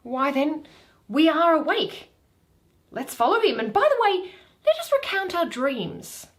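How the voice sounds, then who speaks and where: A woman's voice: sits high, sing-song, a little slow, voice pushed up. A woman reading Shakespeare, voicing an ardent, earnest young Athenian man in love, in theatrical verse. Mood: dazed wonder.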